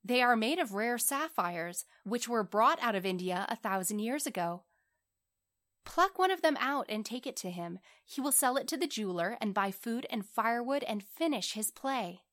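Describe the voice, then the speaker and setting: A woman's voice: on the high side, animated, moderate pace, a bit bright. A woman voicing a noble, sorrowful prince statue in a storybook, earnest, imploring and commanding. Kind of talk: storybook character voice.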